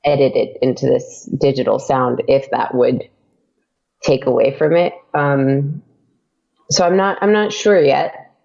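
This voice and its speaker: A woman's voice: very deep voice, fairly even intonation, measured pace, vocal fry, a little mellow, lo-fi sound. A woman guest on a podcast talking casually about making her audio drama, hesitant with many fillers and restarts. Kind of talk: casual interview chat.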